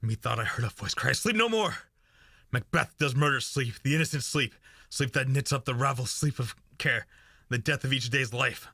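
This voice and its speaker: A man's voice: mid-range voice, normal speed, sharp tone, no echo, clean studio sound. A man acting a guilt-racked, fearful murderous thane in a Shakespearean radio play, with a haunted, intense delivery.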